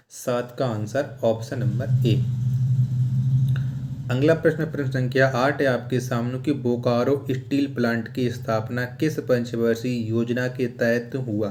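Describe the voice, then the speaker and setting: A man's voice: mid-range voice, darkish tone. Male teacher, instructional delivery. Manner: repetitive, asks short questions then repeats answers. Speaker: teacher for competitive exam general knowledge. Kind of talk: quiz-style lecture, reading questions and answers.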